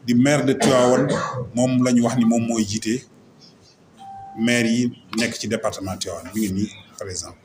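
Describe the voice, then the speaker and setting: A man with a Senegalese accent speaking as a local mayor, making a semi-formal announcement in a halting, list-like way. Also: medium pitch, darkish tone. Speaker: local mayor and association vice-president. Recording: roomy echo, faint background noise.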